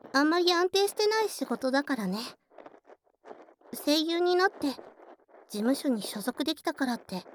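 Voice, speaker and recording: Woman to play earnest young childhood friend, cafe waitress: girlish high voice, animated, bashful tsundere edge, intimate ASMR drama. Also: a little hiss or hum. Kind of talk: Japanese ASMR situation voice drama acting, intimate one-sided conversation.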